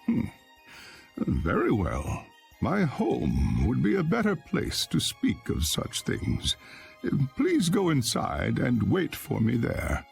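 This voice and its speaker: Gravelly, unhurried old village elder. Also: male voice, medium pitch, wildly swooping pitch, faint music behind, exaggerated voice. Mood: calm, courteous. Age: older. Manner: unhurried, formal, courteous. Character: slow, mild, wise old village elder man. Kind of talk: video game voice acting.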